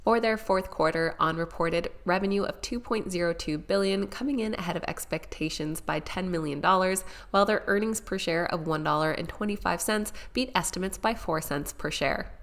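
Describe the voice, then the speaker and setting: A woman's voice: on the low side, moderate pace, few pauses. A woman hosting a stock market video, speaking in a nasal, congested voice from a head cold as she presents a scripted financial rundown. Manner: steady, informative delivery of figures.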